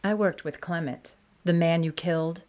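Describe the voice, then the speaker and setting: An actress playing a guarded, menacing doctor in an audio drama. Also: female voice, fairly low pitch, fairly even intonation, normal speed, darkish tone, natural voice. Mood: accusing, menacing.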